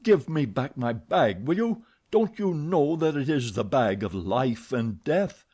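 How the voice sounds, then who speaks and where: A man's voice: mid-range voice, very animated, measured pace, warm tone, slightly rough. A man voicing an angry, jealous masked man who snarls commands and mockery in an audiobook. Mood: furious. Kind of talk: audiobook character voice.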